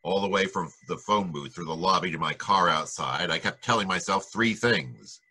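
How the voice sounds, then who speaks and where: An actor playing a wry, wisecracking private detective in an old-time radio drama, with clipped, slangy delivery. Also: male voice, low voice, moderate pace, pushed and bright, husky, heavily acted. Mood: wry narration. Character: wisecracking, hard-boiled male private detective.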